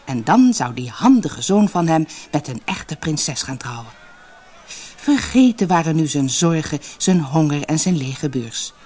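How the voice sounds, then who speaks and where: A storyteller reading a scripted fairy tale for an audiobook, with expressive, dramatic emphasis. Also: mid-range voice, unhurried, husky.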